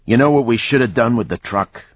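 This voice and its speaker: A man's voice: sits low, measured pace, husky, no echo, highs cut off. A man voicing a violent, foul-mouthed older outlaw in an audiobook, curt and threatening. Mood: gleeful.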